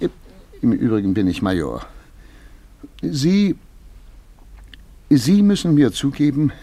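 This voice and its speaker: Flustered, husky, self-important major. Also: male voice, on the low side, darkish tone. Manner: hesitant, halting, stammering politeness. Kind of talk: German radio play acting.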